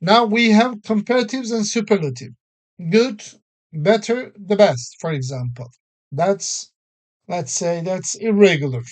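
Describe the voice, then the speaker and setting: Male teacher, slow and steady, explains English grammar patiently with plenty of examples. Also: sits high. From Turkey. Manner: patient explanations with many everyday examples and frequent fillers. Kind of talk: recorded classroom-style English lesson.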